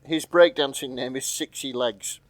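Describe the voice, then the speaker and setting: A man's voice: medium pitch, moderate pace, no echo. A man co-hosting a podcast, chatting casually and reading fan fiction aloud in a jokey, sweary way. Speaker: podcast co-host.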